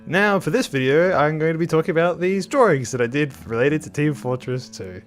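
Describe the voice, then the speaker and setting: A man's voice: on the high side, animated, brisk, soft background music. A man hosting his art channel in casual talk over a speed drawing, a bit nasal and under the weather, rambling with many restarts. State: slightly sick.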